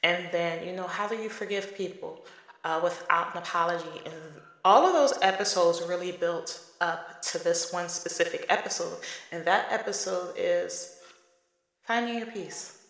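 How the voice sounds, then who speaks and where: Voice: mid-range voice, moderate pace, a bit bright. A podcast host in their thirties, talking casually and emphatically in a solo monologue. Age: 30s. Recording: echoey room with long reverb.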